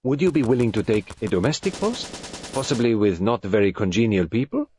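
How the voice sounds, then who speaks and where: A man playing a polite, brisk foreign detective with a French-speaking accent and formal, precise phrasing in an audiobook. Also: fairly low pitch, lively intonation, warm tone, slight background noise, pitched above the performer's own voice, lightly acted. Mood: brisk, urgent.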